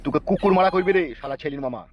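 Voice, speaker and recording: Cartoon village strongman, played by a man: threatening, blustering, brisk delivery, dull lo-fi sound. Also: fairly high pitch, close to an everyday voice. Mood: angry. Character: menacing, foul-mouthed village strongman and worried husband.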